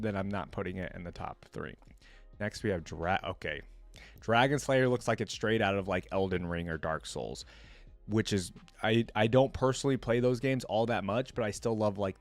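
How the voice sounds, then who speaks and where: A man's voice: mid-range voice, no echo. A man giving casual reaction commentary as a video host, chatty and thinking aloud as he goes. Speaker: host of a gaming reaction channel.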